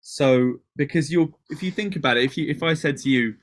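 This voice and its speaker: A man from Wales, a DJ and producer, chatting as a guest in an interview, talking in quick bursts with many restarts. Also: fairly high pitch. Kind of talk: casual interview chat.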